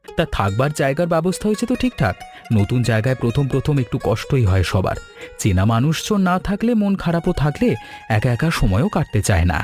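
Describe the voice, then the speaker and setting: A man playing a polite young office worker new in town, in an animated storybook cartoon, speaking a little plaintively. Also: medium pitch, fairly quick, lightly acted. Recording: music bed under the voice.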